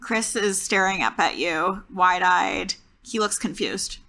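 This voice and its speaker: A woman's voice: medium pitch, slow, creaky, sharp tone. A woman playing a tabletop role-playing game, telling the story in a casual, improvised way.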